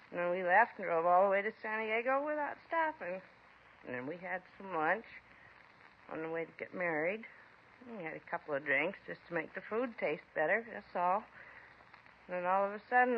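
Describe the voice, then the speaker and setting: An actress playing a bitter, defiant mother in a 1950s radio drama, shrill and accusing, then whining in self-pity, heard on a dull old radio broadcast. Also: slight background noise.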